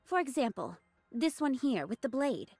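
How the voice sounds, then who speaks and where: A woman's voice: sits high, sing-song, moderate pace, darkish tone, husky, no room sound, faint music behind, exaggerated voice. An actress playing a poised, spirited young woman soldier in an anime game. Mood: poised, doubtful.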